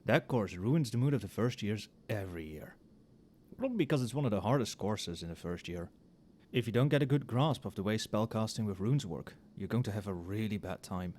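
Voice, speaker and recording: A man playing an overworked magic professor in a casual, soft-spoken ASMR roleplay, muttering with dry sarcasm. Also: fairly low pitch, lively intonation, fairly quick. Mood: casual, chatty. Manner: casual, muttering, dryly sardonic. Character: wry, overworked male magic professor grading papers late at night.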